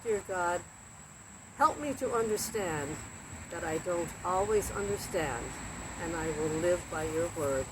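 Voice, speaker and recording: A woman hosting a Bible story time, reading a children's storybook aloud in simple, deliberate phrases with expressive emphasis. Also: lowish voice. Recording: very noisy recording with steady background noise.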